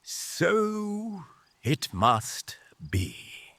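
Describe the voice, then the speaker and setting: A man voicing a menacing, mocking rebel mage leader in an audio drama, with an oratorical, drawn-out, taunting delivery. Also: high voice, sing-song, bright, forceful tone, dry recording, pitched above the performer's own voice, heavily acted. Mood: grim finality.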